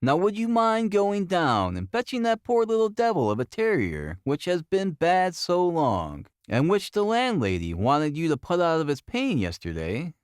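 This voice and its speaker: A man performs a brilliant, intense male detective from a Victorian mystery audiobook. He speaks in a raised, wildly varied pitch, precise and commanding, with theatrical excitability. The sound is close and dry. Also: normal speed, few pauses, no room sound. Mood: brisk command.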